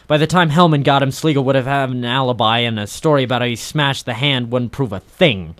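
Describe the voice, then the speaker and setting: Low-voiced tough private eye played by a man. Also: fairly quick, no echo, natural voice. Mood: wry, brooding. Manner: hard-boiled, deadpan wisecracking. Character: tough, cynical private investigator working the docks. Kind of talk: 1940s radio noir acting and narration. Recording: vintage 1940s radio recording with music.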